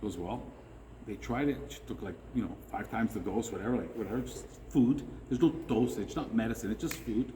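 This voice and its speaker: A man's voice: on the low side, fast talker, few pauses, warm tone. A man chatting enthusiastically as a guest, telling long stories with many tangents. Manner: enthusiastic, tangent-prone storyteller. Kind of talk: casual chat. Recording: long hall reverb, faint noise.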